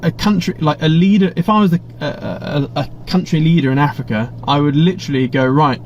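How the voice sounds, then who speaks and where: An English man talks through a live stream in a slightly higher-than-usual voice, rambling, blunt and informal. The audio is a little muffled, with a faint hiss underneath. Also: fairly quick, darkish tone. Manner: chatty and rambling, frequent restarts and blunt asides. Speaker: livestream host. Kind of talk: casual live Q&A, reading viewer chat. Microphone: webcam setup.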